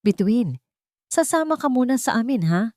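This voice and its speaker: A woman voicing a female social worker who speaks softly, politely and firmly in a dramatic story. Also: a little high, very animated, moderate pace, no room sound, clean studio sound, pitched above the performer's own voice. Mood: gentle, firm. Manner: soft, firm, formally polite. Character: gentle but firm female social worker.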